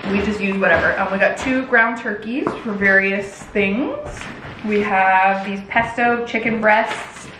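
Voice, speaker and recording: A woman's voice: mid-range voice, unhurried, pushed and bright. Woman, thirties, American accent, casual vlog chatter, rambling, echoey kitchen, background noise. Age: 30s. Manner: chatty, rambling, girly slang. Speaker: lifestyle vlogger. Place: home kitchen, indoors. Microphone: camera mic a few feet away.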